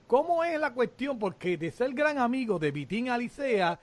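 High-pitched male talk-show host. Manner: lively, quick asides to the co-host. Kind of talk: hosting a casual interview show.

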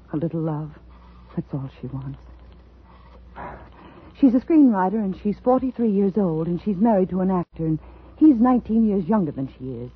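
Casting: clinging, anxious middle-aged wife, 1950s radio thriller. Intense, dramatic delivery, thin phone-like vintage sound. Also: female voice, on the low side, husky. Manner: dramatic, intense delivery, swinging from hushed narration to pleading. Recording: tinny, noisy 1950s radio recording.

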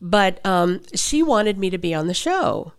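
An actress in an interview, telling animated anecdotes and laughing often as she talks. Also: mid-range voice, unhurried, clean tone. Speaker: actress guest. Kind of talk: interview storytelling.

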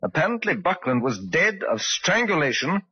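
An actor playing a courteous, shrewd police inspector in an old radio drama, formal and probing in manner. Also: male voice, fairly high pitch, very animated, normal speed, husky. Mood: polite, probing. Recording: dull old radio recording.

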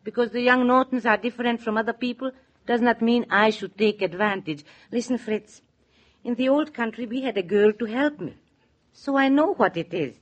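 High-voiced bossy German immigrant wife played by an actress. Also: female voice, normal speed, lo-fi sound, natural voice. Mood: worried, self-reproaching. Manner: emphatic, stilted immigrant English. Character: bossy but soft-hearted immigrant wife, cook and servant. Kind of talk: 1940s radio drama acting.

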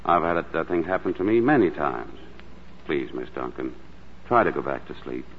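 An actor playing a reassuring, gently teasing male sanatorium doctor in 1940s radio drama style. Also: normal speed, long reverb tail, phone-quality sound, a little hiss or hum. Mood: soothing, light. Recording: thin, boomy 1940s radio broadcast, faint music.

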